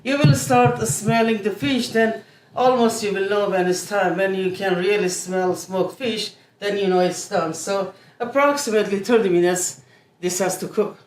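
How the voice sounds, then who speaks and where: A woman from Iran demonstrating a family recipe in her kitchen, explaining each step with frequent pauses and filler sounds. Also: medium pitch. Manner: unhurried, many filler "uh"s. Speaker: home cook. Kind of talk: cooking demonstration. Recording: echoey room.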